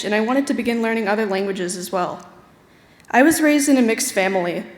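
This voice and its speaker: A high school senior girl giving a candid, personal prepared speech at a ceremony. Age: teens. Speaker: student speaker. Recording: roomy hall with long reverb.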